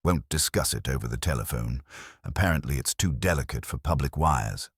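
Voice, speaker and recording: A man's voice: extremely low pitch, lively intonation, brisk, husky, no echo, studio quality, heavily acted. A man reading the part of a dutiful, polite police sergeant in a clipped, courteous way for a mystery story. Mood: weary, courteous.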